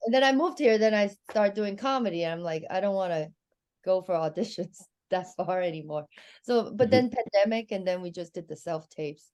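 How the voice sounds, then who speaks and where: A woman's voice: mid-range voice, moderate pace. A middle-aged woman from Hong Kong, speaking English as a second language with a Hong Kong Cantonese accent, telling candid stories as a guest on a comedy podcast. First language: Chinese. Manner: candid, animated storytelling. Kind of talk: casual podcast chat.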